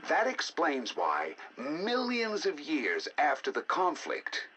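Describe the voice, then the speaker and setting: Animated scholarly male scientist. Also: fairly high pitch, a little hiss or hum, full character voice, poor audio. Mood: grave, analytical. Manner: deliberate, theatrical, musing academic delivery. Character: scholarly male scientist, intrigued by ancient alien data. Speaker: researcher narrating decoded alien history logs. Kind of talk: scripted in-game audio log narration.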